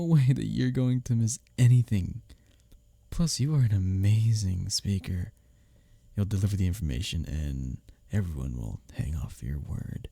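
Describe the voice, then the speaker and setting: A man's voice: on the low side, creaky, no echo, exaggerated voice. A man playing a teasing, earnest male office rival who is secretly smitten, in an intimate ASMR roleplay audio drama. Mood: exasperated, encouraging, then reassuring. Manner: expressive, halting confessions with soft chuckles and sighs.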